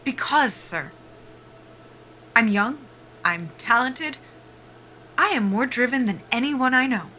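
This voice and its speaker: An actress playing an earnest, driven young military pilot at a job interview, halting and anxious, in a cinematic audio drama. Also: female voice, on the high side, pushed and bright, a little hiss or hum. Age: young adult.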